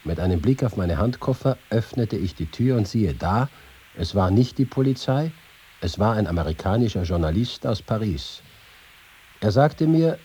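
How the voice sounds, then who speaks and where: An actor reading as an exiled male reporter recalling his life, in a husky voice, for a radio feature. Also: on the low side, dark tone.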